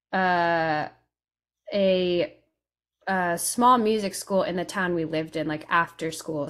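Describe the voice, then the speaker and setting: A young woman musician from Italy chats on a video call from her home room. Her voice sits on the low side, and she talks in a relaxed, thoughtful way. She pauses and uses fillers as she thinks. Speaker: guest musician. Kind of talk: casual interview over video call. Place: home room with studio mic and headphones. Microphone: studio condenser mic on video call.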